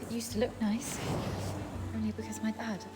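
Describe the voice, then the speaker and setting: An actress playing a gentle, wistful young daughter in a reflective game monologue. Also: female voice, fairly high pitch, level tone, moderate pace, natural voice. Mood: wistful, sorrowful. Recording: clear music behind the voice.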